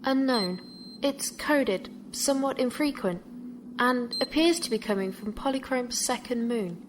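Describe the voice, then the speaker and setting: An actress playing a composed, formal ship's artificial mind, speaking precisely and with measured care in a science fiction audio drama. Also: female voice, on the high side, lively intonation, background noise. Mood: composed, factual. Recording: music behind the voice.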